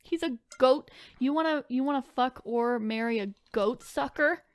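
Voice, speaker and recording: A woman's voice: high-pitched, normal speed, no room sound, studio quality. A woman co-hosting a podcast in casual, playful banter, informal and sweary, with lots of "like". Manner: informal and profane, with frequent "like". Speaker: podcast co-host.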